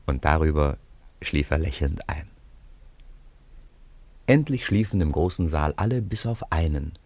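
Deep-voiced man narrating a children's story. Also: animated, a little slow, darkish tone, no echo. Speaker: narrator of a children's radio play.

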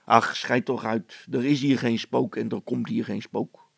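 A man's voice: medium pitch, steady tone, a little slow, creaky, a bit bright, slightly rough, no echo, heavily acted. A man playing a brusque, no-nonsense village policeman who talks in a curt, impatient way. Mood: dismissive.

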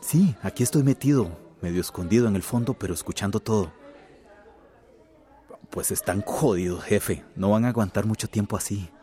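A smug company informant played by a man in a radio drama. His voice is low and soft-edged, hushed and conniving. He sounds eager to please his boss while plotting against others. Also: moderate pace, natural voice. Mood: conspiratorial.

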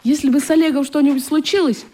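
A woman's voice: sits high, fairly even intonation, fast, dark tone, close to an everyday voice. A woman playing an earnest, thoughtful young schoolboy in a children's radio play.

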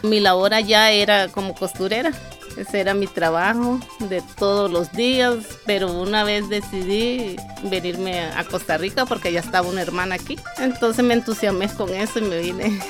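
A middle-aged woman with a Nicaraguan accent recounts her own experience in an informal interview. Her voice is medium in pitch. Music plays softly behind her. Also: normal speed. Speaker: migrant interviewee. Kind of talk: informal personal testimony.

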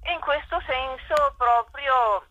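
Bright woman on a dull phone line. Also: sits high, slow, no echo. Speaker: guest, president of a cultural academy. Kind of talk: explanatory interview answers. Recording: dry, dull telephone-like line.

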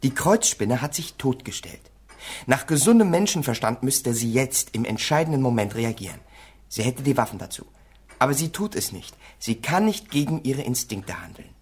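Eager young son in a radio play, slightly rough voice, lively pitch. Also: male voice, normal speed. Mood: earnest. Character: earnest young son, eager pupil of his father. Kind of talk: German radio play acting.

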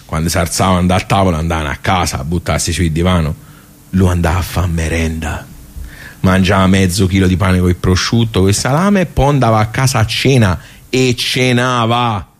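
An actor with a Tuscan Italian accent playing a forgetful, garrulous old village club regular in a radio theatre monologue, rambling, halting and repeating himself colloquially. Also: male voice, sits low, fairly even intonation. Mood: amused storytelling. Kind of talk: theatrical vernacular monologue told as casual bar storytelling.

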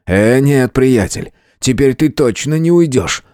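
A man voicing a hot-tempered, defiant and desperate male sword fighter in an audiobook, emphatic and often shouting. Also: medium pitch, expressive, a little slow, no echo, clean studio sound, lightly acted. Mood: taunting.

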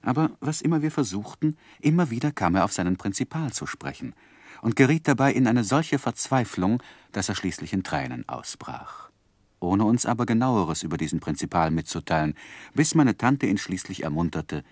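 An actor playing a composed, considerate gentleman who narrates his life story in measured literary style for a 1960s radio play. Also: fairly low pitch, sing-song, dry recording, studio quality. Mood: composed, reflective.

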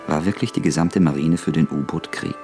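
A man narrating an old radio drama in a measured, sober tone. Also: a bass voice, dark tone, soft background music.

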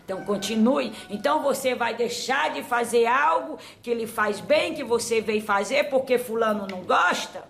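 A woman's voice: on the high side, lively intonation, vocal fry. Woman mediuming a grandmother spirit. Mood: counseling. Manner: slow, repeats "my son", trailing off softly. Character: old grandmother spirit, patient and preachy. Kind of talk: spiritual counseling.

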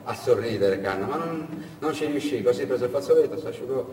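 A man telling a story theatrically and expressively, swinging between hushed and loud delivery with dramatic pauses. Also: mid-range voice, expressive. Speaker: storyteller, theatre monologue performer. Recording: echoey hall, far from mic, noisy.

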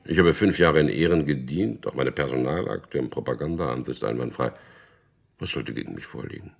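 An actor playing a dry, guarded middle-aged official who narrates a radio drama in an understated, gravelly way. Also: male voice, very deep voice, a little fast, exaggerated voice. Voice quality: gravelly, husky. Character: Peter, dry, guarded propaganda official about to marry. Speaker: narrator and lead character.

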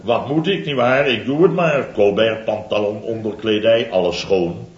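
An actor playing a boastful middle-aged contractor, telling a comic story in a theatrical, chatty way for a 1970s radio comedy serial. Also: male voice, fairly low pitch, normal speed, reverberant, muffled recording, very noisy, natural voice. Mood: indignant.

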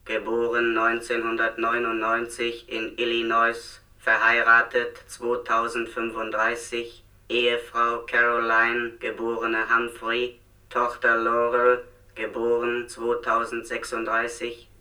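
A man's voice: medium pitch, monotone, a little slow, pushed and bright, recorded from a distance. A man playing an emotionless robot speaker in a German radio play, reciting data files in a clipped, mechanical way. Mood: impassive, mechanical.

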